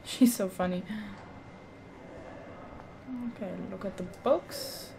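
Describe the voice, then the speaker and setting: Mellow woman let's player, slow and hesitant, casual commentary, game noise behind her. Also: medium pitch, vocal fry. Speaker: let's play host. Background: video game ambience.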